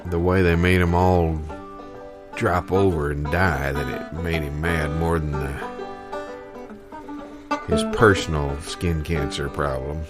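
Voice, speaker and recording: A man playing a slow, folksy small-town American in improvised comedy, with a deadpan, unhurried manner. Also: rumbling low. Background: banjo or guitar music.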